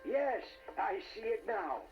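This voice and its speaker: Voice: medium pitch, very animated, slow pace, muffled recording. An actor voicing a solemn, commanding mystical wizard in a children's storybook drama, speaking in a theatrical, portentous way. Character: mystical, solemn wizard medium conducting a seance. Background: music.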